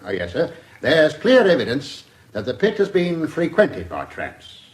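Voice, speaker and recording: A man's voice: fairly high pitch, huge pitch swings, unhurried. A man playing a methodical, deferential English police inspector giving careful, factual evidence in a radio drama. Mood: dutiful, factual.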